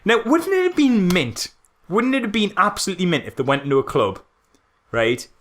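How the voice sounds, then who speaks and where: A man co-hosting a podcast, chatting casually and comically about films in an animated, mock-serious way with rhetorical questions. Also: sits high, a little fast. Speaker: podcast co-host. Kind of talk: casual comedic film critique chat.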